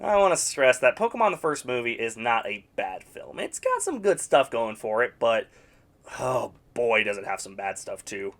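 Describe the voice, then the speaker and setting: A man's voice: medium pitch, animated, moderate pace, a bit bright. A man narrating a scripted video essay reviewing video game movies, with sarcastic, blunt opinions.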